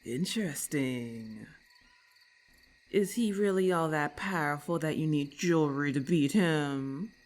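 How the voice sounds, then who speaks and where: A woman voicing a haughty, predatory female lamia in ASMR roleplay, speaking in a deliberate, imperious and condescending manner. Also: low voice, darkish tone. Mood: wary, questioning.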